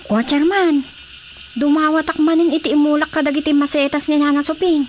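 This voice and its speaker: A woman's voice: sits high, fairly even intonation, a little fast, a little hiss or hum, close to an everyday voice. An actress playing a brash, scheming, sassy village woman in a comedy radio drama, with an Ilocano Filipino accent. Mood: scheming, chatty.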